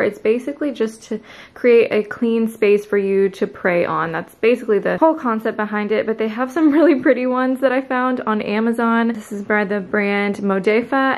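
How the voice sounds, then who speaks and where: A woman in her twenties talks to her viewers in a chatty, upbeat vlog voice, dropping into a gravelly fry at the ends of phrases. She is recorded on a camera mic in a room at home, and the sound has a noticeable roomy echo. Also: a little high. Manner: chatty and upbeat, lots of "like" and "you guys". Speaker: lifestyle vlogger. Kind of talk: casual vlog chat to viewers. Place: indoors at home, bedroom and dressing room.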